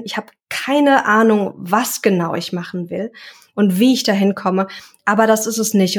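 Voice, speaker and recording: A young woman speaks in a calm, reflective way as an interview guest. She talks about her work in an even, conversational tone. Now and then she stops to search for a word. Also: mid-range voice. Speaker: guest, self-employed coach. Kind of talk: casual interview talk.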